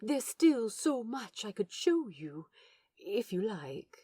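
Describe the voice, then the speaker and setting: Woman voicing a polite, nervous man, slightly husky. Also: medium pitch, sing-song, slow, dark tone, dry recording, heavily acted. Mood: eager, shy. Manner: polite, slightly formal wording. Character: nervous, eager, polite male aquarium docent.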